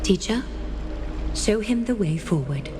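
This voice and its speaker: A woman's voice: medium pitch, expressive, unhurried, very noisy, natural voice. A woman voicing a commanding, composed mentor in a video game, with an authoritative, admonishing manner. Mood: stern, composed. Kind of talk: dramatic video game voice acting. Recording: loud music behind.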